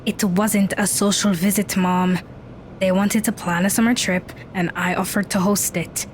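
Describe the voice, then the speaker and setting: A woman's voice: medium pitch, steady tone, a little slow, a little hiss or hum, close to an everyday voice. A woman playing a guarded, blunt teenage daughter in an audio drama. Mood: sullen.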